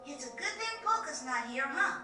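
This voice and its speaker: A woman's voice: high voice, sing-song, moderate pace, over loud music. A woman voicing an earnest, eager, friendly young boy in a video game. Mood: reassuring. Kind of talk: video game cutscene voice acting. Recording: echoey, slightly distant game audio.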